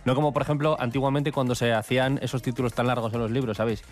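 A man's voice: medium pitch, flat delivery, rapid-fire, faint music behind. A man with an Asturian accent, a comedian guest in a radio studio, making dry, wry asides. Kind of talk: jokey studio banter.

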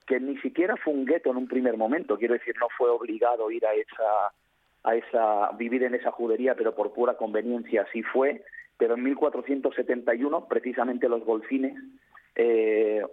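A man's voice: medium pitch, slightly rough, no echo. A man, a tour guide explaining in detail over the phone as an interview guest. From Cáceres, Spain. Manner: detailed, explanatory, with frequent fillers. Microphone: telephone. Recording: tinny phone line.

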